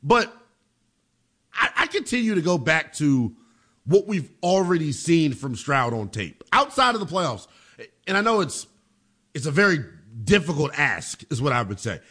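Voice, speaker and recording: An American man hosts a sports show with a high voice that swings widely in pitch. He delivers football opinion emphatically, repeating phrases to drive points home. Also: sing-song, moderate pace, pushed and bright, breathy edge.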